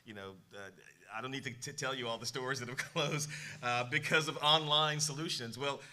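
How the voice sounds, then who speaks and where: A man's voice: medium pitch, moderate pace, sharp tone. A man on a panel, speaking earnestly and with urgency. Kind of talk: panel discussion.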